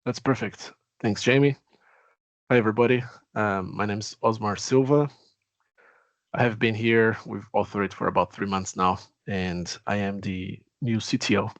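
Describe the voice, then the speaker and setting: Low-voiced Brazilian-accented man. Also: fairly even intonation, dry recording. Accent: Brazilian Portuguese. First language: Portuguese. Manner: earnest, measured, with pauses and fillers. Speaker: CTO. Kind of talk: self-introduction.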